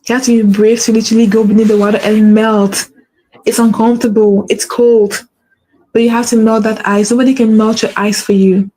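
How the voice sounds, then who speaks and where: A woman's voice: fairly high pitch, little pitch movement, brisk, warm tone, poor audio. A woman with a stutter hosting a faith-based motivational talk, repeating her key phrases for emphasis. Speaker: podcast host.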